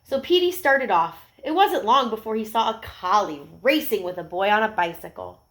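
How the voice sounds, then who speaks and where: A woman reads a picture book aloud for children. She narrates at a mid pitch and steps out of the story to talk directly to young listeners. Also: lively intonation, moderate pace, a bit bright.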